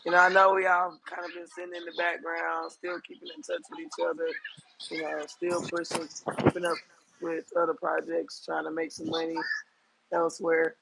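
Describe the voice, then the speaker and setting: A hoarse man calling into a casual live audio chat, tired and easygoing. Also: on the high side, monotone, normal speed, background noise. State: hoarse from being sick. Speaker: caller.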